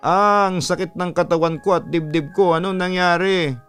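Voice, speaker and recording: A man's voice: sits high, fairly even intonation, moderate pace, a bit bright, clean tone, faint music behind, voice pushed up. A man voicing a weakened, frightened teenage boy recovering from possession in a horror story. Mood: weak, pained. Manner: pained, frightened.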